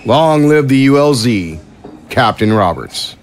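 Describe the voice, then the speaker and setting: A man's voice: mid-range voice, sing-song, measured pace, soft background music. A man playing a loyal aide who delivers military dispatches in an audio drama. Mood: declaiming.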